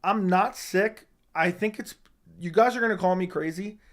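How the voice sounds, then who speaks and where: A slightly hoarse young man hosting a casual live chat, answering viewers with blunt opinions. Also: high-pitched, normal speed, a bit bright. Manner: opinionated, talks straight to chat. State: slightly hoarse, losing his voice. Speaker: livestream host answering chat.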